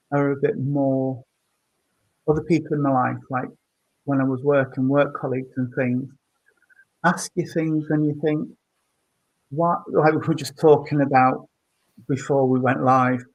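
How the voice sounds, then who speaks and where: A man, a trustee, talking slowly and hesitantly in a casual group chat, with many ums and restarts. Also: a little high, darkish tone, rough recording. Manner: slow, hesitant, with many fillers. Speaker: trustee on a panel.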